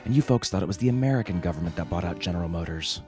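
A man's voice: sits low, lively intonation, very fast, music in the background. A man hosting a podcast, reading scripted comic satire and introductions in a wry, theatrical way. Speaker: podcast host.

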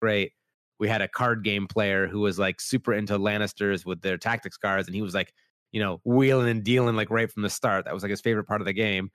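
Host, young man, lower voice, dry close sound, rambling chatty delivery. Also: fairly even intonation, fairly quick, a bit bright, dry recording. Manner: rambling, many "like" fillers, acts out quoted lines. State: voice worn after being sick. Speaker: podcast host. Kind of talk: casual chat.

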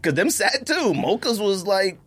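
A man's voice: high voice, very animated, moderate pace, fairly bright tone, husky. A man with an American accent co-hosting a podcast, joking and exaggerating in casual, slangy banter. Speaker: co-host of a sneaker podcast.

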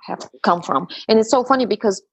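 Husky-voiced Bulgarian-accented woman. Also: medium pitch, fairly quick, dry recording. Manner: chatty, laughs often, hesitant fillers. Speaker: guest. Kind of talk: conversational interview.

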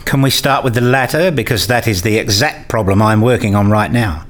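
A man playing a keen, inquisitive learner in a radio play, questioning and candid, quick to challenge what he is told. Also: lowish voice, close to an everyday voice. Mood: curious, analytical.

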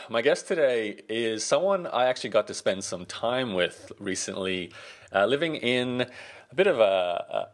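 A man hosting a podcast, chatting casually in a friendly, rambling way with frequent restarts. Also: sits low. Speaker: podcast host. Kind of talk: casual interview chat.

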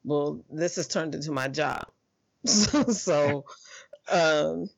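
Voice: mid-range voice, takes it slowly, creaky, muffled recording. A podcast host interviewing a guest in an effusive, supportive, chatty way. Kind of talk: conversational interview.

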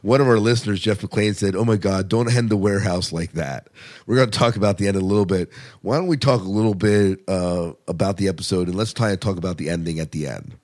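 A man's voice: deep voice, fast talker, darkish tone. A man hosting a podcast, chatting casually and enthusiastically as a fan. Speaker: podcast host. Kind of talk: casual fan chat about TV and film.

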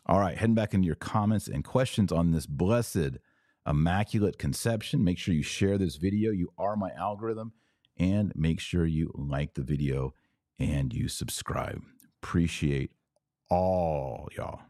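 A man with an American accent speaks in a deep register, recorded close and dry. He hosts a podcast of informal religious teaching, explaining points with firm emphasis. He often poses a rhetorical question and then answers it himself. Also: unhurried, a little mellow, dry recording. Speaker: podcast host answering viewer comments.

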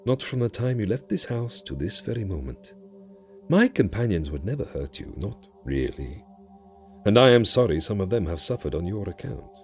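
A man's voice: lowish voice, very animated, normal speed, a little mellow, faint music behind. A man voicing a proud, wily ancient djinn in a theatrical, taunting and declamatory manner for an audio story. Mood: wistful, solemn.

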